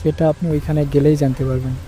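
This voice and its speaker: A man's voice: on the high side, level tone, rapid-fire, lightly acted. A man voicing an earnest, insistent and secretive auto-rickshaw driver in a cartoon thriller. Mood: secretive, persuasive. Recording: loud music and storm sounds behind.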